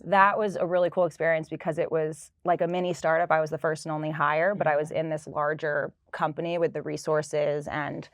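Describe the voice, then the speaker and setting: A woman in her thirties with a low voice tells her work history in a smooth, storytelling way. She sounds relaxed and sure of herself, recorded close in a dry room. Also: fairly quick, hardly any pauses, no echo. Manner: fluent, storytelling, light laughs. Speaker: director of sustainability. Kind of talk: casual interview. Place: office.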